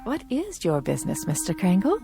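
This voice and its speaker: A woman's voice: on the low side, huge pitch swings, fairly quick, creaky, over music, full character voice. An actress playing a nosy, talkative, easily affronted landlady in a theatrical radio drama, prying and huffy.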